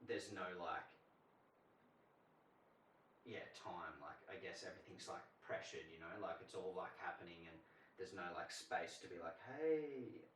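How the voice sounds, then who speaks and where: Deep-voiced man, roomy and distant. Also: a little slow, a bit bright. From Australia. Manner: rambling, heavy use of "like". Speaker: podcast co-host. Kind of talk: casual chat. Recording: roomy sound from off mic, faint hiss.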